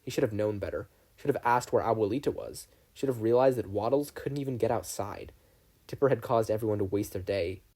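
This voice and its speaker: Mellow, slightly husky man narrating. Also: lowish voice, a little fast. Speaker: fan fiction audiobook reader. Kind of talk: scripted story narration.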